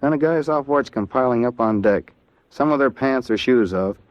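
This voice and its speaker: A man with an American accent answering in a scripted 1940s radio interview as a Navy seaman, in a plain, earnest, measured way. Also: medium pitch, no echo, lightly acted. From Ohio, United States. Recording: dull vintage 1940s radio recording.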